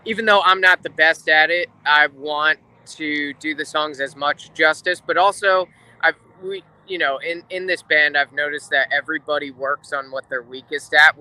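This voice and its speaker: A man's voice: a little high, pushed and bright, clear, resonant tone, no room sound, rough recording. A man talking casually as an interview guest, a drummer explaining his craft. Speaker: guest, rock drummer and drum teacher.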